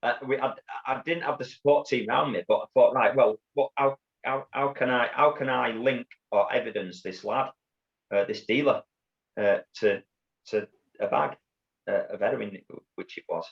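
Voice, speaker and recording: A middle-aged man with a Yorkshire accent, telling his story as an interview guest in a halting way, with many restarts and repeated words. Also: medium pitch, vocal fry. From Bradford, England. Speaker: guest, former undercover police officer. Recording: dull lo-fi audio.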